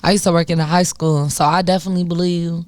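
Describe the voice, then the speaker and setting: A woman's voice: fairly low pitch, steady tone, quick pace, a little mellow, no echo. A woman from Chicago hosting a casual radio interview, upbeat and affirming, chatting freely with her guest.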